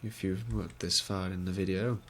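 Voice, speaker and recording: A man's voice: low-pitched, fairly even intonation, normal speed, a little hiss or hum. A young man with a cold, sounding congested, giving wry, mock-posh let's play commentary through a headset. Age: young adult. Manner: mock-posh, wry, gentlemanly put-on accent. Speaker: gaming video creator.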